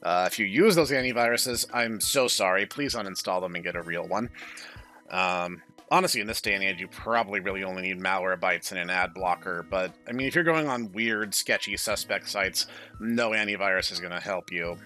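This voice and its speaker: A man's voice: low-pitched, a little fast, sharp tone. A man hosting a let's-play, chatting casually and going off on opinionated, digressive asides over the game. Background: music.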